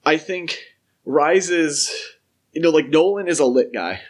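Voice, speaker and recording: A man's voice: on the high side, lively intonation, a little slow. A man co-hosting a podcast in casual film discussion, talking conversationally and peppering sentences with "like" and "you know". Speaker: podcast co-host.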